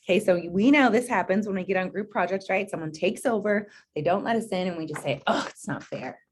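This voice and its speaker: A woman's voice: medium pitch, quick pace, a little hiss or hum. A woman reading a children's picture book aloud, expressively, with encouraging chatty asides and questions to her listeners. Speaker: read-aloud presenter.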